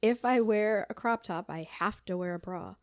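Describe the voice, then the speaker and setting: A young adult woman co-hosting a podcast in casual chat, her voice hoarse and raspy from illness, with a dry, deadpan delivery. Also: mid-range voice, moderate pace, no room sound. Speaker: podcast co-host.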